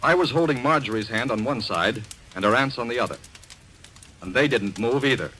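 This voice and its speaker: A man's voice: medium pitch, brisk, sharp tone, natural voice. A man acting in an old-time radio mystery as an earnest, anxious young man trying to stay reasonable. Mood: urgent. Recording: noisy old radio recording.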